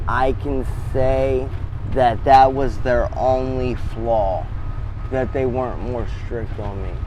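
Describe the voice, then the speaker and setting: Slow-talking man in his thirties, street traffic. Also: medium pitch. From born in Czechoslovakia, raised in Baltimore, Maryland. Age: 30s. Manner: halting, plainspoken, pauses between phrases. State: hiccuping. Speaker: interviewee. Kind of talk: candid personal storytelling. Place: outdoors on a city street. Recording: outdoor street noise. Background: passing traffic.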